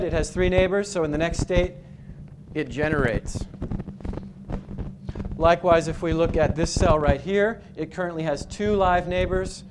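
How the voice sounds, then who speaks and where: A man from New England giving a conference talk with slides, explaining step by step with frequent pauses and restarts. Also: a little high, background noise. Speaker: conference speaker. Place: hotel conference room with projector screen.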